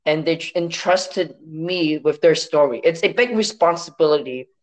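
A man from Hong Kong whose first language is Cantonese, speaking as an interview guest in a measured, reflective way with some restarts. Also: fairly high pitch, poor audio. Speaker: guest, science story editor.

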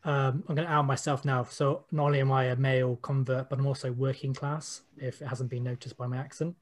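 A man with a British accent and a medium-pitched voice. He speaks as a panel participant in an informal discussion, giving opinionated answers and leaning on repeated phrases. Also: level tone, brisk. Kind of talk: informal discussion, personal testimony.

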